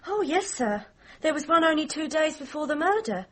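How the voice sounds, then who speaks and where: High-voiced, earnest young girl. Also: sing-song, normal speed. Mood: eager, wistful. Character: earnest, polite young working girl, bereaved niece. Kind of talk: radio mystery drama acting.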